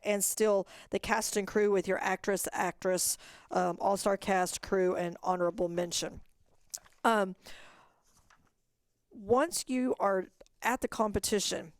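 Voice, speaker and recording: Smooth-voiced woman presenting competition guidance. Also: medium pitch, no echo. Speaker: competition organizer presenting a webinar. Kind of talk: informal instructional presentation.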